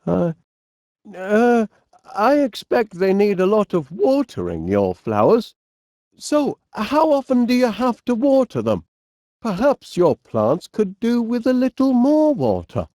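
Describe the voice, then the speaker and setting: A bumbly northern english inventor bloke for a cartoony game, polite n flustered, slow talker, pitch goes all over. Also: male voice, huge pitch swings, mellow, no echo, clean studio sound, heavily acted. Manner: hesitant, stammering politeness with quaint exclamations. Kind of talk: animated comedy game voice acting.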